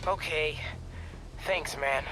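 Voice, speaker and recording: A man's voice: on the high side, steady tone, takes it slowly, loud music behind the voice, poor audio. A man playing an eager, awkward teenage boy who wants to be a superhero, rambling casually and hesitantly in a video game. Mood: let down.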